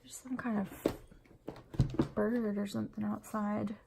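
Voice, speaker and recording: A woman's voice: medium pitch, level tone, slow pace, creaky, background noise. A sniffly woman with a runny nose, chatting in a rambling way while she decorates her planner at home. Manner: rambling and chatty, full of fillers. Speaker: planner vlogger and mom.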